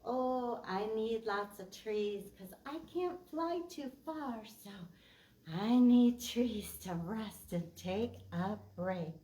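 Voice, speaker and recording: A woman plays a small young bird at a children's storytime, earnest and childlike. The little creature talks in simple words at an unhurried pace, in a roomy, echoing space. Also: on the high side, animated, dark tone. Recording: roomy echo, distant mic.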